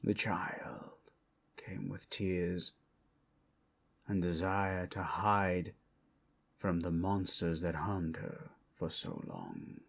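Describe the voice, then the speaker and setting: Man acting as ancient dragon, fierce guardian, breathy husky rumble, extremely slow, grave theatrical ASMR monologue. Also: expressive, full character voice. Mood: brooding, bitter. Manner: grave, deliberate, theatrical with long pauses. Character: ancient solemn male dragon, fiercely protective hoard guardian.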